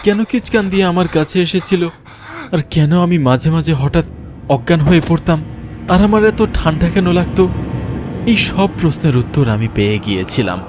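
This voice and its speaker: A man's voice: fairly high pitch, lively intonation, normal speed, natural voice. A man playing a frightened young man, a betrayed lover telling his horror story in an earnest, dramatic first-person narration. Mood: tense narration.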